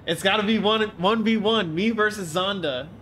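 A man's voice: sits high, normal speed, a bit bright, slight background noise. Stuffed-up young man streaming a race game. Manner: chatty and joking, reads chat aloud. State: congested, stuffed-up nose. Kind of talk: casual live-stream chat with viewers. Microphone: desk mic, webcam framing.

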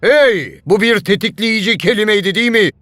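A man's voice: sits high, expressive, moderate pace, fairly bright tone, no room sound, soft background music. An actor voicing a bossy, exacting male mastermind in a cartoon dub. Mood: reproachful. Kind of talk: animated cartoon dub acting.

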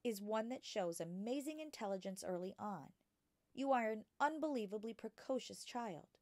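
A woman voicing a gentle, patient woman teacher in a children's storybook, explaining things with care. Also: medium pitch, lively intonation, dry recording, clean studio sound, pitched above the performer's own voice, natural voice. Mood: admiring, insistent. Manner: patient, careful, measured explaining. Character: gentle, cautious, patient young woman schoolteacher. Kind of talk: children's storybook character reading.

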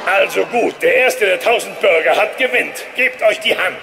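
An actor playing a blustery crab restaurant owner as a fight referee in a cartoon radio play, calling out like a showy ring announcer. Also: male voice, sits high, normal speed, gravelly, full character voice. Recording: loud music and crowd behind.

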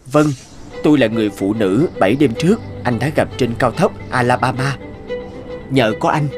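Cartoon working father, male actor: medium pitch, gentle-hearted, modest and apologetic, music underneath. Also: close to an everyday voice. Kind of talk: dubbed cartoon acting. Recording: music behind the voice.

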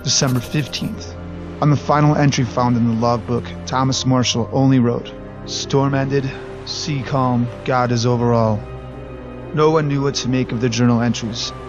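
Measured male documentary narrator. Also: mid-range voice. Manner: measured, even storytelling delivery. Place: voice-over recorded apart from the footage. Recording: slightly dull recording with faint music.

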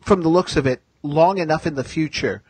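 High-pitched, slightly husky man chatting casually. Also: a little mellow, dry recording, low-quality recording. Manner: halting, circling back mid-thought. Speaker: podcast host. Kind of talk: casual opinionated TV discussion.

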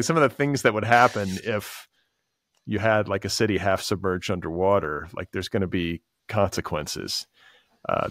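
A man's voice: lowish voice, dry recording, clean studio sound. A man hosting a podcast, asking long casual questions filled with "like" and "sort of". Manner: casual, rambling questions full of "like". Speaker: podcast host. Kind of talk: podcast interview.

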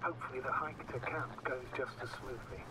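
An actor playing a composed, dry space mission commander in a video game, talking formally through a radio filter. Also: male voice, medium pitch, brisk. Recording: dull radio link, loud music behind.

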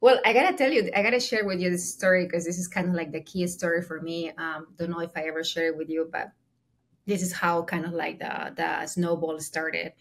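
A woman's voice: fairly low pitch, steady tone, a little fast, creaky. A woman from Peru, telling her life story as a podcast guest over a video call, earnest with many ums and restarts. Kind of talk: casual storytelling. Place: small room at home. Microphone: laptop webcam on a video call.

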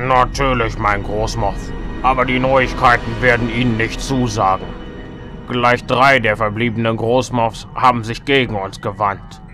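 An actor playing a formal, grave imperial officer in a sci-fi audio drama. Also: male voice, mid-range voice, steady tone, a little slow, over music, natural voice. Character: male imperial officer, formal bearer of grim news.

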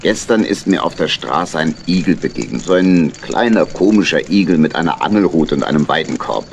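An actor playing a shrewd, stern old gentleman badger in a children's audio drama, deliberate, probing and authoritative. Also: very deep voice, lively intonation, gravelly, slight background noise, exaggerated voice. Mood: casual, crafty. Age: older. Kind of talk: 1970s-80s German children's audio drama acting.

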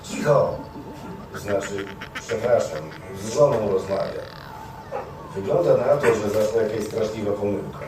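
A man's voice: fairly low pitch, a little slow, music in the background, close to an everyday voice. A man playing a flustered, stammering, bumbling henpecked talk-show announcer in a live comedy stage sketch. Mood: nervous. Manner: stammering, apologetic, fumbling. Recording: echoey noisy hall, distant mic.

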